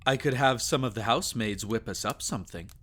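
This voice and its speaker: A man playing a teasing, doting vampire lord in an intimate ASMR romance roleplay, with soft chuckles and sighs. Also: mid-range voice, a little fast, a little hiss or hum, natural voice. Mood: warm, fond.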